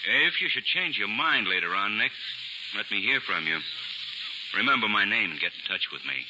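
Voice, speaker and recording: An actor playing a stern assistant district attorney who presses and persuades firmly, in 1940s radio acting. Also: male voice, on the low side, sing-song, normal speed. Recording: muffled 1940s radio broadcast with hiss.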